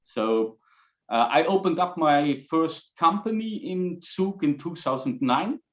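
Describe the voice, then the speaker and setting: A middle-aged man from Austria, a company founder, answering interview questions in English, often pausing on fillers, with dry humor. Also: on the high side, expressive, a bit bright, roomy sound, highs cut off. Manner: halting, many fillers and restarts, dry humor.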